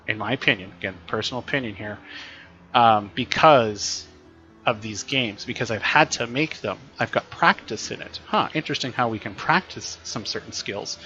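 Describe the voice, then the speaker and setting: A man, a teacher, chatting casually while playing a role-playing game, thinking aloud with frequent restarts and a slightly raspy voice. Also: mid-range voice, fairly bright tone, dull audio. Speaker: teacher streaming games. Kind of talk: casual gameplay commentary.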